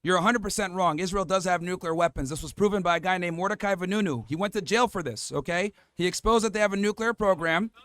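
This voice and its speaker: A man's voice: high-pitched, fast, few pauses, fairly bright tone, dry recording. Assertive man laying out numbered points. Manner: assertive, lists points by number, cuts in to hold the floor. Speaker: debate host. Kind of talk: street debate argument.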